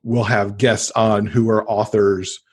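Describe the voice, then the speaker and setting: Low-voiced man chatting, a bit husky. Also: flat delivery, slow pace. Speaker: podcast guest; podcast host and author. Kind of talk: casual interview chat.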